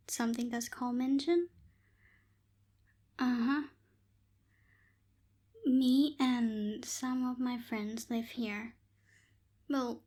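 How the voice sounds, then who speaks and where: Woman playing a slow, soft-spoken aloof witch. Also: on the high side. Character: aloof tsundere night witch, lazy, businesslike young woman. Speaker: voice actor in audio roleplay. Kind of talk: ASMR roleplay, soft spoken.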